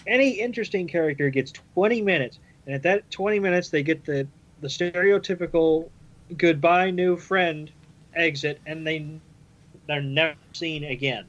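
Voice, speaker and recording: A man's voice: on the high side, moderate pace, fairly bright tone, dull audio. A man chatting casually on a podcast panel, recapping and explaining things step by step. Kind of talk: casual episode recap discussion.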